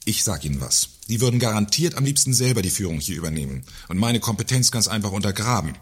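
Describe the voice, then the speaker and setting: An actor playing a pompous, irritable, controlling company director in a satirical radio play. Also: male voice, on the low side, animated, fast talker, breathy edge. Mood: indignant. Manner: pompous, self-important. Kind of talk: German radio play acting.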